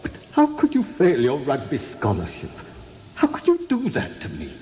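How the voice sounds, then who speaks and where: A man's voice: a little high, wildly swooping pitch, moderate pace, warm tone. An actor playing a stern, ailing father, grave and reproachful, in a radio play. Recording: long reverb, faint background noise.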